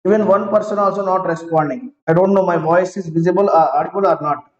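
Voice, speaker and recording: A man teaching an online class, talking slowly, repeating each phrase and checking that students follow. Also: high-pitched, steady tone. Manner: slow, repeats each phrase several times; asks students to confirm they follow. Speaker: IT trainer. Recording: roomy, dull lo-fi online class audio.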